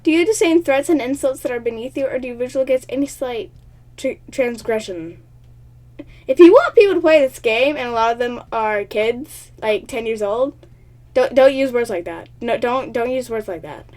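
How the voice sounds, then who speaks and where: A woman host reading a text game aloud with chatty, excitable commentary, stumbling over words and laughing often. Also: on the high side, moderate pace. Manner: laughs often, talks at herself. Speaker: gaming channel host.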